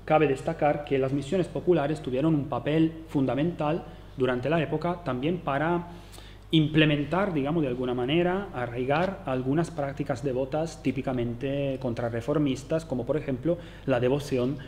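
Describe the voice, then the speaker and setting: A man's voice: medium pitch, normal speed, a little mellow, a little hiss or hum. A man who speaks Spanish as a second language, with an Italian accent, giving an academic conference lecture, hesitant and full of restarts and fillers. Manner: hesitant, many fillers and restarts, tag questions.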